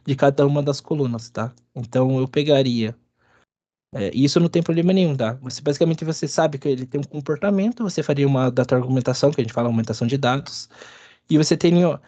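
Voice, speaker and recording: A man with a bit of a cold, giving a halting, filler-heavy live coding lesson at his computer. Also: mid-range voice, mellow, dry recording. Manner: halting, many restarts and fillers. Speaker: instructor. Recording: dry, dull lo-fi sound.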